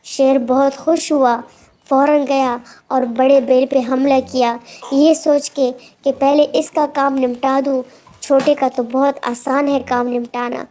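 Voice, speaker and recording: A woman's voice: sits high, flat delivery, unhurried, warm tone. A woman telling a moral story to children in an instructive way, addressing them directly and repeating phrases for emphasis.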